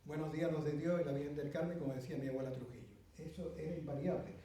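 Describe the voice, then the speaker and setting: A man's voice: on the high side, fairly even intonation, fast talker, dark tone. A middle-aged man with an Andalusian accent, giving a conference talk and telling a long anecdote with many asides and fillers. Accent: Andalusian Spanish. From Andalusia, Spain. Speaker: speaker at a conference. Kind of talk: storytelling talk to an audience. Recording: roomy hall heard from a distance.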